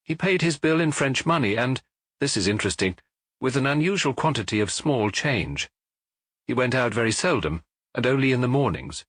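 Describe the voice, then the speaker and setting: Man playing a brisk, suspicious police inspector, animated pitch. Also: no echo, studio quality. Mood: confident, suspicious. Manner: businesslike, insistent questioning.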